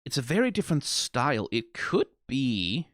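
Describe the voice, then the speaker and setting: Male reaction host, mid-pitched, tired, informal and sweary, rambling delivery, dry recording. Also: big pitch swings, a bit bright, no room sound. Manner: informal, freely swearing, emphatic; rambling, halting, trailing off mid-thought. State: tired after being awake for twenty hours. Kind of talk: off-the-cuff reaction commentary.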